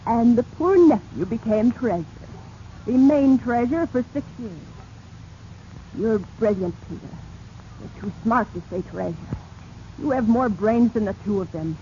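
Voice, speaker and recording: An actress playing a resentful, ambitious wife who nags insistently in a 1940s radio drama. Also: female voice, on the high side, animated, normal speed, warm tone, lightly acted. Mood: goading, insistent. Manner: scornful, insistent, clipped theatrical delivery. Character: ambitious, resentful, nagging wife demanding status. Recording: muffled, noisy 1940s radio recording.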